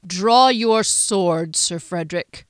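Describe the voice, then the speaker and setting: Woman narrator playing a stern middle-aged duke passing judgment; cold, measured, louder and higher than her narration, dry. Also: smooth, no echo. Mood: cold anger. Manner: measured, formal, icy delivery.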